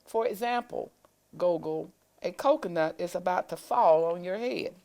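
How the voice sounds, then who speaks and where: A woman's voice: on the low side, normal speed, dark tone, close to an everyday voice. A woman voicing a sleepy, bossy dog with an assertive manner in a children's storybook. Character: sleepy, bossy dog who values the pack.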